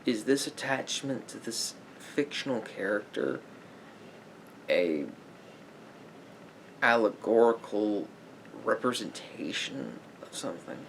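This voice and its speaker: Slow, creaky man in ASMR therapist roleplay. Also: mid-range voice, animated, fairly bright tone, a little hiss or hum, poor audio. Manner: measured, halting, explanatory.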